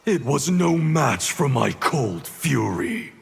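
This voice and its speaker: A man's voice: on the high side, big pitch swings, slow, gravelly, exaggerated voice. A man playing a cold, resolute warrior clan leader in a fighting game, stern and challenging in delivery. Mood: cold, defiant. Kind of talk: fighting game dialogue acting. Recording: very noisy game sound behind.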